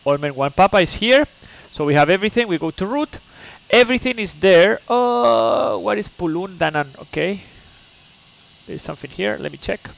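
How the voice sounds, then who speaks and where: A man's voice: high voice, expressive, moderate pace, vocal fry, rough recording. A man from Chile, speaking English, streams a flight sim live. He explains each step patiently, checks in with "okay?", and chats with viewers. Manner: chatty asides to viewers.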